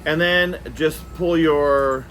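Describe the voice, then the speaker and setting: A man giving a casual hands-on product review, talking in a halting way and repeating words as he goes. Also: on the high side, fairly even intonation, a bit bright, slight background noise.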